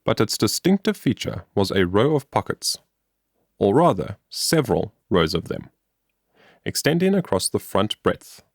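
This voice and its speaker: A man narrating a scripted story as an audiobook reader, with expressive, carefully enunciated delivery. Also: lowish voice, a little slow, no room sound, clean studio sound.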